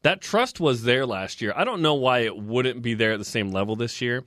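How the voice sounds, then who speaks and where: A man hosting a sports talk radio show, recapping results and reading trivia in a steady, informative way. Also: mid-range voice, moderate pace, no room sound, studio quality.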